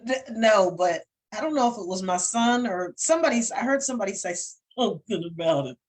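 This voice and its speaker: A woman's voice: mid-range voice, animated, brisk. A middle-aged woman co-hosting a casual talk show, teasing and laughing often as she chats. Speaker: co-host, counseling coach. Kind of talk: casual podcast chat.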